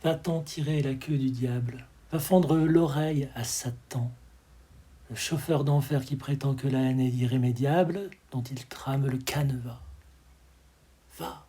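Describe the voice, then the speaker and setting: A man with a breathy, husky voice reciting poetry expressively, in measured dramatic phrases that often sink to a hush. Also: mid-range voice. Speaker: poetry reader.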